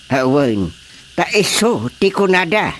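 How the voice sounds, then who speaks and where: A man acting an unhurried, hesitant village chairman in a comedy radio drama, with an Ilocano accent. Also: a little high, very animated, fairly bright tone, a little hiss or hum. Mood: amused, agreeable.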